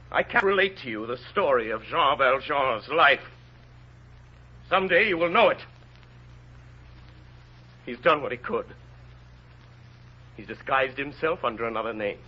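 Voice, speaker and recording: A man's voice: sits high, slightly rough, full character voice. A man playing a dignified, conscience-stricken mayor in an old radio drama, grave and theatrical in delivery. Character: dignified middle-aged mayor with a tormented conscience, solemn and earnest. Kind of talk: 1930s radio drama acting. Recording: dull old radio recording with music.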